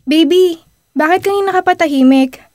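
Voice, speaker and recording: A woman's voice: very high-pitched, normal speed, darkish tone, no room sound, clean studio sound. A young woman acting a sweet, teasing teenage girl in an amateur radio drama, with a Filipino accent. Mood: affectionate. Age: young adult.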